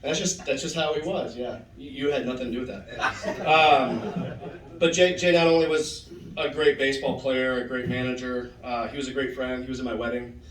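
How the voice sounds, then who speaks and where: A man's voice: mid-range voice, moderate pace. A man giving a heartfelt tribute to a former teammate, telling stories and quoting him. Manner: storytelling, animated quoting. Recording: roomy hall, voice from far off.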